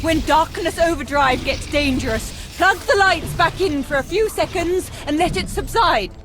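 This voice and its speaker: A woman's voice: very high voice, normal speed. A woman playing a focused technical advisor in a video game, explaining things with urgency to a combat team. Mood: urgent. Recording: loud music over voice.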